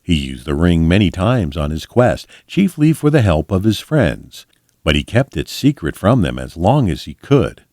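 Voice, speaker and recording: A man reading a fantasy book aloud in an expressive audiobook style, with measured, dramatic storyteller phrasing. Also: sits low, dry recording, clean studio sound. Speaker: volunteer storybook reader.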